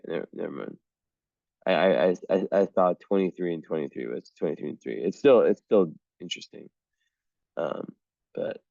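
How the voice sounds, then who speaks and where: Deep, slow-talking hoarse man. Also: warm tone, no echo. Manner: rambling, halting, frequent throat clearing. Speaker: astrologer. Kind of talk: solo chart reading. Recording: dull lo-fi sound.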